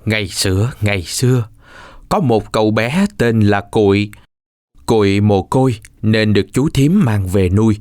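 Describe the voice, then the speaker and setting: A man narrating a children's folk tale from a script in an expressive, measured storytelling manner. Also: on the low side.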